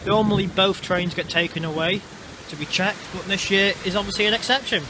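A man talks his way through a walking tour, chatty and a little halting as he explains things. His voice sits a touch high, and the camera mic picks up plenty of street and traffic noise around him. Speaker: vlogger. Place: outdoors in urban streets and underpass. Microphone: handheld camera mic. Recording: noisy outdoor street sound. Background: traffic and street ambience.

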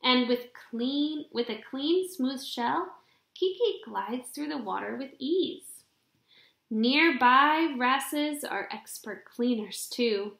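A young adult woman reading a children's picture book aloud in an expressive, deliberate storybook delivery, recorded in a room at home. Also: high voice, gravelly. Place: small indoor room at home. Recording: roomy, echoing home webcam recording.

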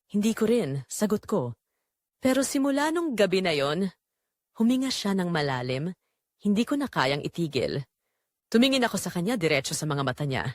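A woman's voice: lowish voice, big pitch swings, moderate pace, long pauses, no room sound, studio quality. A woman playing a guilty middle-aged mother confessing a forbidden affair in an emotional, scripted romantic audio drama. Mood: tense, yearning.

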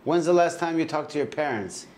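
A man's voice: on the high side, lively intonation, a little fast, a little mellow, a little hiss or hum. A man conducting a casual interview, asking short questions and echoing answers back.

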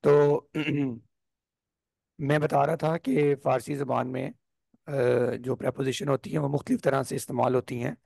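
A man with a sore throat teaching a language class over a video call, explaining slowly and clearing his throat often. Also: medium pitch, fairly even intonation, a little mellow, no room sound. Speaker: Persian teacher. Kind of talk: online class lesson.

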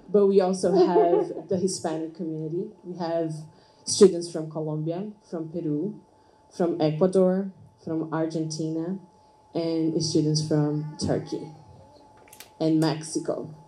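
A woman with a handheld microphone giving a welcoming speech in a campus meeting room, speaking slowly and deliberately. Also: low voice, warm tone, slight background noise, low-quality recording. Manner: slow, deliberate listing.

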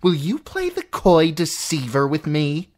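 An audiobook narrator voicing a jealous, unhinged middle-aged noblewoman who accuses in a shrill, spiteful voice that rises into screeching. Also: very animated, slow. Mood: furious. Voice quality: shrill, piercing. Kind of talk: audiobook character voice.

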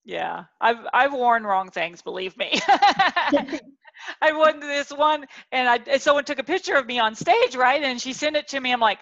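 A woman hosting a casual chat, talking in an animated way and often laughing as she tells her stories. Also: measured pace. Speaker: talk show host. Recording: dull, muffled low-fidelity audio.

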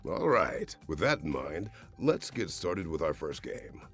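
A man co-presenting a games showcase with dramatic scripted narration in a gravelly voice. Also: rumbling low, very animated, measured pace, a bit bright. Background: music.

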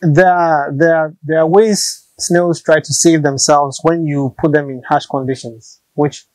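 A Ghanaian man in his thirties talks through how he runs his farm, patient and practical, doubling back on words as he goes. His voice sits a little high for a man. The sound is close and dry from a lapel mic. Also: steady tone, measured pace, a little mellow, no room sound. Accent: Ghanaian English. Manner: patient, practical, repeats words while explaining. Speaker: snail farmer. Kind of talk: explaining farm practice in an interview. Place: open-sided farm shelter outdoors.

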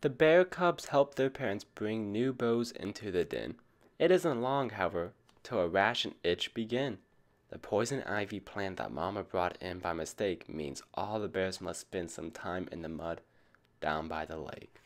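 A man's voice: low-pitched, a little slow. A man reading a picture book aloud to children, addressing them like a teacher. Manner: asks young listeners questions as a teacher would. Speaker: storybook reader for children.

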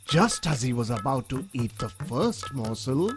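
A man's voice: medium pitch, lively intonation, measured pace, over music. A man narrating a scripted children's story in a dramatic storytelling manner.

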